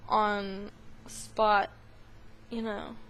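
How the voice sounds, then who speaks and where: A woman's voice: medium pitch, little pitch movement, extremely slow, a bit bright, rough recording. A woman giving casual, rambling, playful and sarcastic commentary as she plays a video game. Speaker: video game let's-player.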